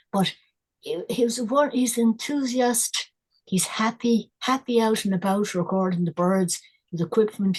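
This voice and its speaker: An older Irish woman, a guest environmentalist, talking in a casual, thoughtful way with many pauses and restarts. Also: mid-range voice, a little mellow, rough recording. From Ireland. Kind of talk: casual conversational interview.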